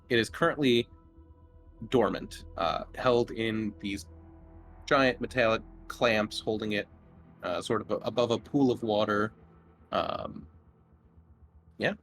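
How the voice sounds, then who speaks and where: A man acting as game master, describing scenes for a tabletop horror game in a halting, improvised way. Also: fairly low pitch, a bit bright.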